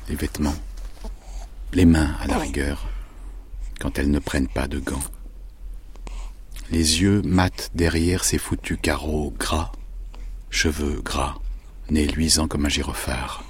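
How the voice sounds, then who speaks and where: An actor playing a weary, self-mocking country doctor, speaking his inner thoughts in a hushed voice for a radio drama. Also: rumbling low, steady tone, slow pace, pauses often, husky, exaggerated voice. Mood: brooding.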